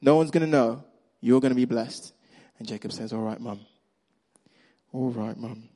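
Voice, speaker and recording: A man with a Nigerian accent preaching a sermon, acting out the characters' lines and swinging between loud emphasis and quiet, hushed moments. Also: mid-range voice, normal speed. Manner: animated storyteller, acts out Bible characters' lines.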